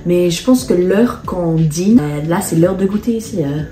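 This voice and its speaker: A woman's voice: on the low side, lively intonation, moderate pace, mellow. A woman from England with an English accent, speaking French as a second language in a casual couple chat, hesitant and searching for words. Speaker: co-host. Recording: echoey room.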